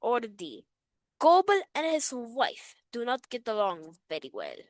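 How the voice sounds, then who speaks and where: A woman doing a sing-song put-on Indian accent, reading out quiz questions in a slow, unhurried way. Her voice sits fairly high and swoops up and down a lot as she goes. The delivery is playful and exaggerated. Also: wildly swooping pitch, no room sound, clean studio sound. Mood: teasing. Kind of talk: reading multiple-choice questions aloud.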